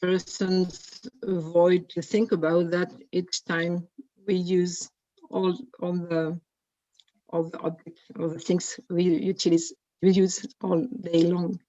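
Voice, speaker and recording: Slow woman on a dull, lo-fi call line. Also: lowish voice, flat delivery, mellow, dry recording. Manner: slow, self-deprecating, struggles with English. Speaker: guest speaker. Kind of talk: online meeting chat.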